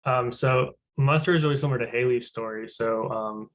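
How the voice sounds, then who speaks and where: A man's voice: medium pitch, brisk, a bit bright. A young man from Argentina speaking sincerely and casually on a panel, often saying "like". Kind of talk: panel discussion.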